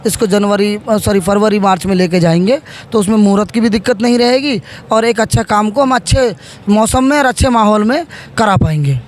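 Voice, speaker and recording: Male politician, 30s, high voice, halting interview delivery with repeated phrases. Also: slight background noise. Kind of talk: interview answers.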